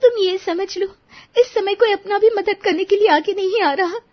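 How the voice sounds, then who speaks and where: Anxious mother voiced by a woman, extremely high, thin-pitched, imploring and insistent, cartoon moral tale, slightly dull audio. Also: moderate pace. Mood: tearful, pleading. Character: anxious, pleading mother desperate for household help.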